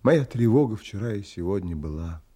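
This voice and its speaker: Взволнованного влюблённого, хрипловатый низкий голос. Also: male voice, lowish voice, lively intonation, moderate pace, breathy edge. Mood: troubled, foreboding. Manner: emotional, pleading, hushed at times. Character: romantic, anguished man in love, tender and troubled. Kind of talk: audio drama acting and first-person narration. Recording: music under the voice.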